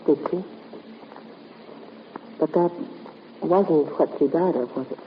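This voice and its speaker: An actress playing a soothing, quietly probing female caretaker in 1950s radio drama style. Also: low-pitched, takes it slowly. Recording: noisy, muffled vintage radio recording.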